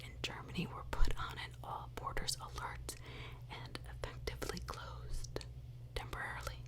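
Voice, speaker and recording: A woman host narrating true crime in an ASMR whisper, emphatic, repeating phrases and dropping in personal asides. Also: poor audio.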